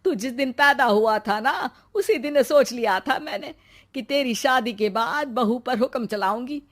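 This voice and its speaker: A woman voicing a bossy mother-in-law who scolds and taunts her grown son theatrically in an animated story. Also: fairly high pitch. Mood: gloating. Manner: theatrical, sarcastic, drawn-out scolding. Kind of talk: animated Hindi moral story acting.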